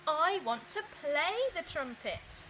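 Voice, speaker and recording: A woman's voice: sits high, big pitch swings, takes it slowly, pushed and bright, a little hiss or hum, pitched above the performer's own voice, exaggerated voice, rough recording. A woman voicing a determined young boy in a children's storybook, speaking emphatically and insistently.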